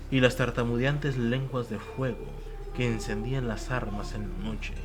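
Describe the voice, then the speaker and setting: Theatrical male narrator over music. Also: mid-range voice. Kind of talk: dramatic scripted audiobook narration. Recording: music bed behind the voice.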